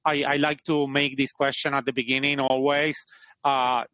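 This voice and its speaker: A man's voice: mid-range voice, flat delivery, moderate pace, sharp tone, low-quality recording. A man hosting a podcast interview, talking hesitantly with many fillers. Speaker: podcast host.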